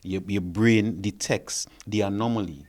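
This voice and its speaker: A man's voice: fairly low pitch, a little slow, darkish tone. A man who works as a therapist and behavior consultant, explaining his ideas on a discussion panel and often checking in with "right?".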